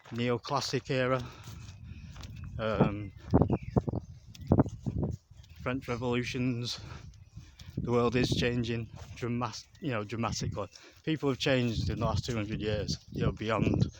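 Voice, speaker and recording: A man's voice: mid-range voice, flat delivery, measured pace. An English man with a slight Mancunian lilt gives a casual, rambling, musing history commentary while walking outdoors. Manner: conversational, digressive, musing. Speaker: vlogger and documentary narrator. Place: outdoors along a rural canal path. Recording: noisy outdoor recording with wind and birds. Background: wind, birdsong, outdoor noise.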